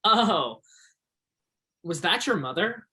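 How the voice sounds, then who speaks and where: An actor in a stage play playing an easygoing, obliging young roadhouse souvenir seller with a friendly, agreeable manner. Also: male voice, high-pitched, very animated, slow, bright, forceful tone, exaggerated voice. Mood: friendly, casual.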